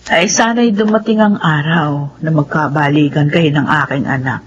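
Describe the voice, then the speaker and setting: A woman's voice: low voice, moderate pace, breathy edge. A woman playing a friendly, hopeful older mother, effusive and pious, in a radio drama. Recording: dull, faintly noisy.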